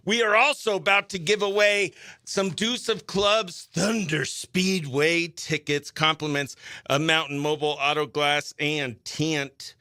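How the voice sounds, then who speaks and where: A man hosting a morning radio show, chatting excitably and playfully through banter and giveaway patter, with music faintly behind him. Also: a little high, measured pace, clear, resonant tone, no echo, studio quality.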